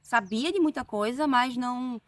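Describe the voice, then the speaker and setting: A woman's voice: fairly high pitch, normal speed, recorded from a distance, crowd noise. A woman from Recife with a Pernambuco accent, a nurse talking as a guest in a casual interview, chatty with tag questions and restarts. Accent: Pernambuco Brazilian Portuguese. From Recife, Northeast Brazil.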